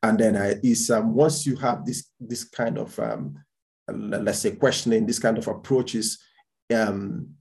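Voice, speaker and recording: Low gravelly-voiced man, halting speech. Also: fairly even intonation, a little mellow. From born in Spain, raised in Portugal. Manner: halting, many restarts and repeated words. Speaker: guest entrepreneur. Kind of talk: casual interview chat.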